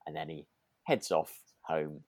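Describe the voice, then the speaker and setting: A man's voice: a bass voice, a little slow, a little mellow, dry recording. A British man co-hosting a podcast, chatting casually and somewhat tentatively as he recaps a radio drama. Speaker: podcast co-host.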